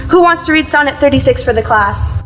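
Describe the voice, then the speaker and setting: A woman's voice: high voice, animated, fairly quick, a bit bright, faint music behind. A woman acting in a school audio play as a theatrical, eager English teacher with a declamatory, chiding streak. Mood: bright, encouraging.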